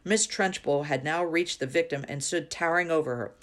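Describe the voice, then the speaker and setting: Lady reading a kids book out loud, lowish voice, expressive storytelling. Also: fairly quick. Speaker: reader. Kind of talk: expressive children's book read-aloud.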